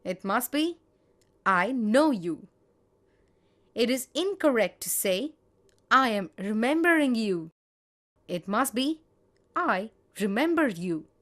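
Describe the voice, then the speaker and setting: A woman teaching grammar, reading example sentences in a measured, deliberate way. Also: a little high, sing-song, fairly bright tone. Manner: measured, spells out each example. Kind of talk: slow, deliberate grammar lesson.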